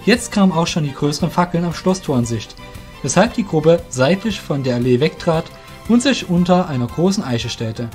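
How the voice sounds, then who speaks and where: A man narrates a scripted fantasy audio drama. His voice sits fairly high for a man. Faint music plays behind him. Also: animated, moderate pace, a little mellow.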